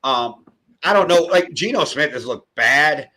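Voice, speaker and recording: An American man co-hosting a sports podcast, telling stories and giving picks in a relaxed, hedging way. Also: fairly high pitch, a little slow. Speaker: podcast co-host. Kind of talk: casual sports chat.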